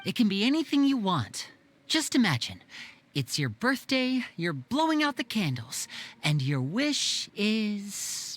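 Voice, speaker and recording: A clever young magician from a game, protective as an older brother. He sounds earnest and composed, with a theatrical, lively rise and fall. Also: high voice, measured pace, heavily acted. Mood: gently coaxing. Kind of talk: game voice acting.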